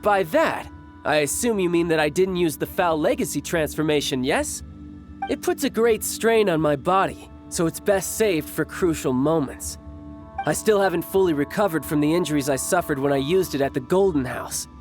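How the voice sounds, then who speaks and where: An actor playing a confident young warrior in a video game, with a breezy, teasing way of talking. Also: male voice, a little high, expressive, normal speed, natural voice. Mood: teasing, affectionate. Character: cocky young warrior commander, doting older brother. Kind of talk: acted video game dialogue. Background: game music.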